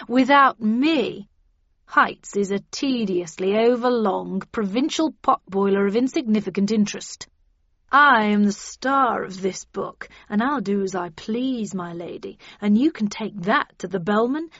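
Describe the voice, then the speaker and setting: A woman's voice: medium pitch, dry recording, close to an everyday voice. A woman voicing an arrogant, sardonic male villain and film star, declaiming pompously in an audiobook. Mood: boastful, defiant. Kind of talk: audiobook character dialogue. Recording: dull, band-limited audio.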